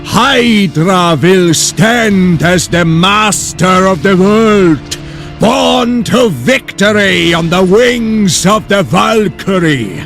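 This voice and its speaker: A man's voice: high voice, creaky, fairly bright tone, pitched above the performer's own voice, full character voice. A man voicing a ruthless, grandiose Nazi villain warlord in an audio drama, proclaiming pompously.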